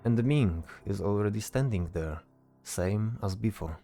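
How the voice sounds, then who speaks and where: A man's voice: on the low side, lively intonation, measured pace, mellow, soft background music. A man giving scripted fantasy narration as a storyteller. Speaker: narrator, game master.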